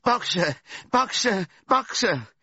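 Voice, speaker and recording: A stout motherly mare from a storybook, played by a man, crying out in desperate anguish. The voice is pushed very high and sharp, with a husky edge. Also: very animated, slow, dry recording, highs cut off, exaggerated voice. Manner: terrible anguished screaming cries. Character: stout motherly female horse, desperate and loyal.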